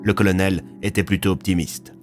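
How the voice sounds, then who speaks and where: A man's voice: deep voice, little pitch movement, brisk, faint music behind. A man narrating a serialised audio fiction in a scripted, dramatic storytelling style.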